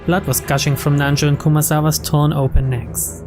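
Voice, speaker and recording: A man's voice: fairly high pitch, little pitch movement, moderate pace, over music. A man reading a visual novel's story aloud as a dramatic narrator. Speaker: video game let's play narrator.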